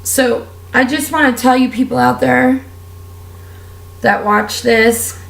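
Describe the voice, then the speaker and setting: A woman with a raspy, strained voice, talking candidly and at length while doing her makeup for a vlog. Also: fairly high pitch, steady tone, takes it slowly, echoey room, low-quality recording. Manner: candid, heartfelt, rambling with fillers. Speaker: beauty vlogger. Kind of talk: casual personal rant while doing makeup.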